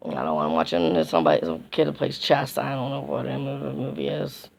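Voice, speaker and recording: A woman talking casually to her vlog audience, hoarse and nearly losing her voice from a sinus infection, rambling with many restarts. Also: extremely low pitch, quick pace, a little mellow. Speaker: YouTube vlogger. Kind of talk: casual vlog chat to camera.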